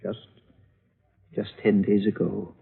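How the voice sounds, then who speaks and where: Casting: lonely old American gentleman, vintage radio drama, raspy voice, formal, measured, proud. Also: fairly low pitch, little pitch movement, heavily acted. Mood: measured, weary. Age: older. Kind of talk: golden age radio drama acting. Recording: muffled vintage radio recording.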